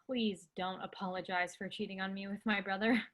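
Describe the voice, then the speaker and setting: A woman's voice: mid-range voice, steady tone, brisk, fairly bright tone, natural voice. An actress playing a headstrong, wry young woman in a staged play reading. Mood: wry, resolute.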